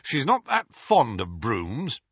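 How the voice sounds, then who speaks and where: A man voicing a calm, easygoing young wizard who speaks matter-of-factly, on a slightly dull recording. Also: medium pitch, slow, creaky, slightly rough, no room sound, heavily acted.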